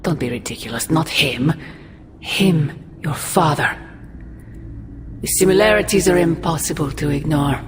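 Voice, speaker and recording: A woman playing an ancient demonic sorceress mother in a game cutscene, menacing and mocking, with a grandiose, deliberate delivery. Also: sits low, slight background noise. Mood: wistful, imperious. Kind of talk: dramatic video game cutscene acting. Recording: long cavernous reverb under loud music.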